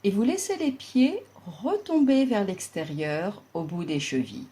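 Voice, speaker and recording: A woman leading a guided yoga relaxation as an instructor, speaking in a calm, soothing and deliberate way with long pauses. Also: medium pitch.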